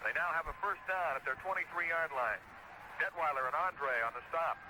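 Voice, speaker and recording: A man's voice: on the high side, moderate pace, background noise. A man with an American accent calling live football play-by-play in clipped, descriptive phrases on a 1970s radio broadcast.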